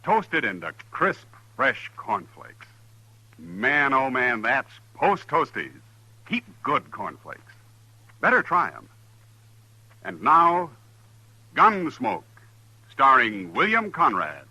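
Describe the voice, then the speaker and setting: A man with an American accent doing emphatic, scripted 1950s radio announcing and sponsor pitches. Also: wildly swooping pitch, slow pace, long pauses, bright, forceful tone, slightly rough, dry recording, low-quality recording. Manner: emphatic, punchy salesmanship.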